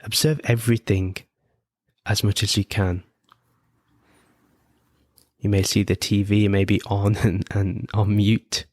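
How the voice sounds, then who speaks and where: A man leading a guided meditation as host, giving gentle, unhurried instructions with frequent pauses. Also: on the low side, level tone, dry recording, studio quality. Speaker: podcast host guiding meditation.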